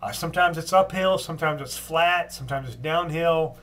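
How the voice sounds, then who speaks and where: A man's voice: a little high, a bit bright, a little hiss or hum. Stuffed-up older man, casual video diary. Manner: plain, unhurried talk with frequent ums and restarts. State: stuffed up from a cold. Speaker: long-distance walker giving a daily update.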